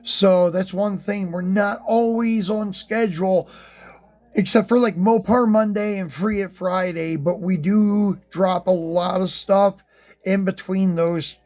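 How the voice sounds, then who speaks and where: A man hosting a die-cast car channel, chatting casually through a review, relaxed and rambling with plenty of ums, his voice slightly hoarse. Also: high-pitched, takes it slowly. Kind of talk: casual unboxing and review talk.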